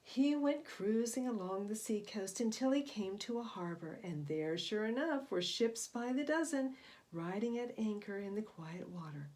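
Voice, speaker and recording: A woman with a mid-pitched voice reads aloud for young children. Her intonation rises and falls widely as she narrates and explains things expressively, like a storyteller talking straight to her listeners. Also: expressive, moderate pace, a little mellow. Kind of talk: children's storybook reading and introduction.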